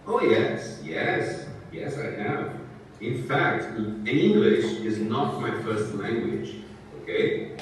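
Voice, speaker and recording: A Brazilian man in his sixties, a native Portuguese speaker, talking slowly and clearly in English to students, often checking that he is understood. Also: mid-range voice, huge pitch swings, a little hiss or hum. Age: 60s. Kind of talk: talking to students in a language class. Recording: echoey, distant room sound.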